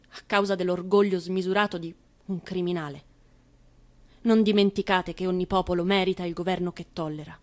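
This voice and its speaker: A woman narrates a scripted drama in a medium-pitched voice. Her delivery is solemn and deliberate, in the manner of a stage actress reciting for radio.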